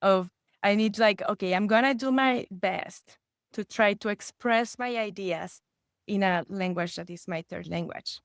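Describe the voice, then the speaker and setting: A woman talks earnestly as a podcast guest, restarting phrases as she works out her ideas in her third language. Her voice sits at a medium pitch, recorded close and dry with no room sound. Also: a little slow, dry recording. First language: Spanish. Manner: earnest, with frequent restarts and rising excitement. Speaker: guest expert. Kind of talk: conversational podcast interview.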